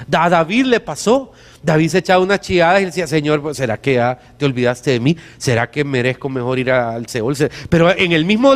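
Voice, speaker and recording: Salvadoran pastor preaching in a reverberant hall. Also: male voice, a little high. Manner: colloquial, emphatic, with rhetorical questions. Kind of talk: conversational sermon with anecdotes. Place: church hall during a service.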